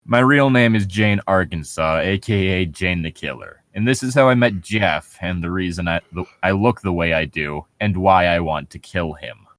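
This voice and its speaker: A man's voice: low voice, normal speed, hardly any pauses, a bit bright, dry recording. A man bantering casually and reading aloud with sarcastic commentary, often breaking into amusement. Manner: wry, sarcastic, laughs often. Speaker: co-host reading and mocking online horror fiction.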